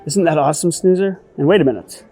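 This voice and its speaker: A woman's voice: deep voice, fast. A woman presenting an educational children's show in an explanatory, encouraging manner. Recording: music behind the voice.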